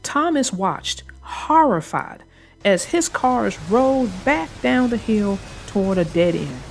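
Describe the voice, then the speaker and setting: Children's read-aloud narrator, woman, very lively intonation, unhurried, light music underneath. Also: mid-range voice, creaky. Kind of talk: expressive read-aloud storytelling.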